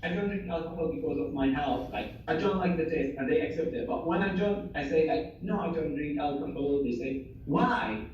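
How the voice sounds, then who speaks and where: A man's voice: on the high side, fairly quick, few pauses, a little mellow, dull audio, slight background noise. A young man from Syria, speaking English as an in an earnest, casual interview, full of fillers and restarted phrases. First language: Arabic. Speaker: interviewee, refugee and former assistant doctor. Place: home in Germany. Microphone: video call. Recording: echoey distant video-call audio.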